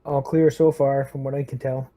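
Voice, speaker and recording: A man's voice: medium pitch, flat delivery, moderate pace, dark tone. A man playing a tabletop roleplaying game, talking casually with the group about what to do.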